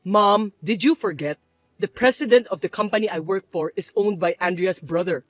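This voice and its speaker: A woman voicing a calm adult son and husband who explains things to his mother in a firm, patient way. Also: medium pitch, moderate pace, dry recording, close to an everyday voice. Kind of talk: dramatized story voice acting.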